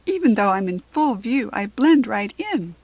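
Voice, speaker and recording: Woman voicing a kindly, eager little zebra fairy, breathy. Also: mid-range voice, expressive, normal speed, a little mellow, dry recording. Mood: proud, friendly. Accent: American.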